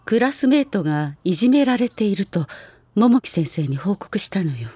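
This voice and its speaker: A gentle schoolteacher acted by a woman speaks in a mid-pitched, somewhat guarded way. It is audio drama acting, with soft music playing behind her. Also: very animated, normal speed, lightly acted. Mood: regretful, explaining. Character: gentle, guarded adult woman schoolteacher recalling former pupils.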